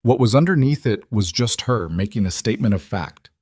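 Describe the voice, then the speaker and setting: A man with a lowish voice narrates a suspense audiobook. The reading is scripted and dramatic, recorded dry and close with no room sound. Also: expressive, a little fast, darkish tone, slightly rough, dry recording.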